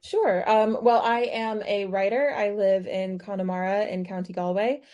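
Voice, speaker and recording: An American woman in her thirties, a podcast guest answering questions in a thoughtful way with many pauses and restarts. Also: medium pitch, fairly even intonation, a little mellow, highs cut off. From United States. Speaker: guest author. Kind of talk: podcast interview, conversational.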